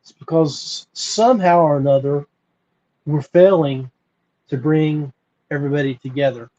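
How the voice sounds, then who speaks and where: An American man hosting a podcast, talking informally and earnestly with frequent pauses. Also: on the high side, mellow, lo-fi sound. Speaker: podcast host. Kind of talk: informal solo podcast talk.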